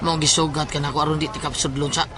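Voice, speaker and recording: A woman playing a nervous, excitable friend in a horror audio drama, stammering frantically. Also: low-pitched, fast talker, natural voice. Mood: nervous warning. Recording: loud music and crowd behind.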